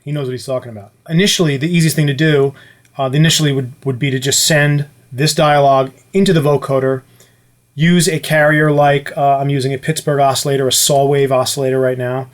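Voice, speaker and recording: A man's voice: medium pitch, steady tone, a little fast. A man talking casually as a music producer, walking through a gear demonstration. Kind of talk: casual explanatory tutorial talk.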